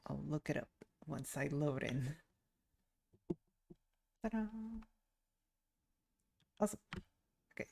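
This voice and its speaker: A woman's voice: low voice, expressive, slow pace, creaky, long pauses, a little mellow, slight background noise. A woman livestreaming a video game, chatting casually and making short offhand remarks to herself as she plays. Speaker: streamer, VTuber. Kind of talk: casual gameplay livestream chat. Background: video game music and sounds.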